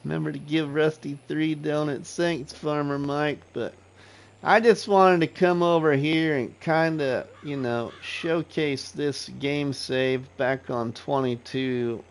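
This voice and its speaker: Slow-talking man hosting a game livestream. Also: on the high side, a little hiss or hum. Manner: slow, relaxed, rambling with fillers. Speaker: livestream host. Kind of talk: casual livestream chat.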